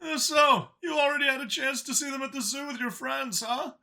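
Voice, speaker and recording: A man voicing an anxious alien father who pleads and gushes haltingly in a strained voice, for a story. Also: creaky, pushed and bright, exaggerated voice. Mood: eager, awkward. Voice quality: high, strained voice. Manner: halting, hesitant, gushing and apologetic by turns.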